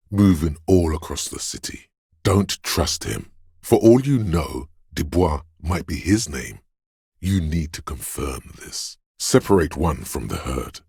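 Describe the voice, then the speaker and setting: A paranoid voice of primal fear inside the head, extremely low and heavily rasping. It narrates a dark video game in the second person, slowly and ominously, as if every detail were a threat. The sound is close and dry. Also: male voice, no echo, full character voice. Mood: wary, paranoid. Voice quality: very deep, gravelly, husky. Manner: ominous, slow, deliberate delivery. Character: menacing, paranoid inner voice of primal fear and aggression.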